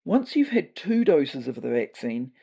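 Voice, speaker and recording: A woman voices an earnest male cat official in a comic audio story. The voice is mellow and soft-edged with a New Zealand accent, formal and slightly tentative in delivery. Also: rumbling low, big pitch swings, a little slow, creaky, slightly rough, full character voice.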